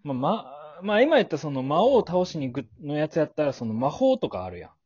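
A man's voice: on the high side, sing-song, brisk, darkish tone, dull audio. A man with a western Japanese regional accent, chatting casually and playfully as a podcast co-host in comic banter.

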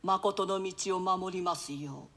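An elderly dowager played by a man with a high, piercingly bright voice. She declaims in archaic language as a proud, grieving mother in a classical tragedy. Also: slow pace, creaky, full character voice. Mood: solemn. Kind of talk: theatrical classical Japanese play reading.

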